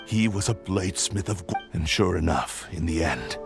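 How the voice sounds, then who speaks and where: Proud evil sword spirit for a game, deepish, formal n menacing, music behind. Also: male voice, expressive, moderate pace, gravelly. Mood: proud, brooding. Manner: pompous, menacing, archaic formal speech. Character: proud vengeful male spirit of a cursed sword. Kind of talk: video game voice acting. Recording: music under the voice.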